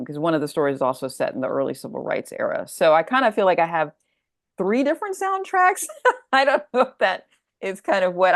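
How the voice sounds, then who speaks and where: American woman guest chatting about her writing, deep-ish gravelly creak, really dry close sound, thinks out loud. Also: no room sound. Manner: thoughtful, discursive, thinking aloud. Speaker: guest author discussing her story collection. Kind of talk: casual interview talk.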